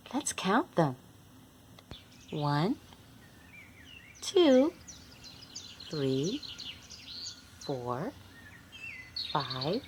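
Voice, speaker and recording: A woman's voice: mid-range voice, slight background noise. A woman reading a picture book aloud to young children, speaking expressively and addressing them directly as host. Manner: acts out animal sounds. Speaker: host and reader of a children's read-along.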